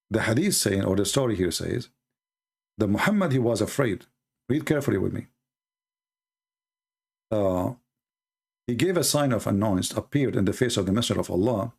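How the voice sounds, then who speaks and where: A man's voice: lowish voice, creaky, a little mellow. A man with an Arabic accent and Arabic as his first language, giving combative commentary on a religious text and mocking and challenging his opponents. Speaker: religious critic.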